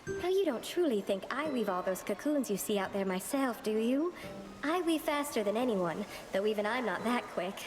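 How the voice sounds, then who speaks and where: A woman's voice: on the high side, animated, moderate pace, lightly acted. A woman voicing a dreamy, vain spider weaver in a video game, with a languid, genteel and fussy way of talking. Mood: coy, genteel. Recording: echoing, long reverb, music behind.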